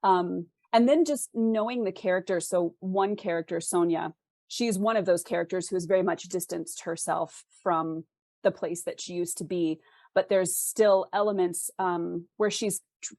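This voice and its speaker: A woman's voice: on the low side, normal speed, a little mellow, no room sound. An American woman from the West Coast explains her craft conversationally as an interview guest, thoughtfully posing questions as she goes. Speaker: interview guest, fiction author.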